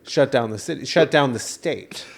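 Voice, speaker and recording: A man's voice: medium pitch, normal speed, vocal fry. A man co-hosting a comedy podcast with casual banter and playfully dramatic, exaggerated reactions.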